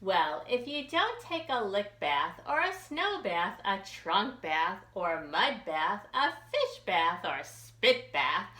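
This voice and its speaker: A woman children's librarian reading a picture book aloud for young children in an expressive, sing-song questioning way. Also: mid-range voice, echoey room. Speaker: children's librarian hosting storytime.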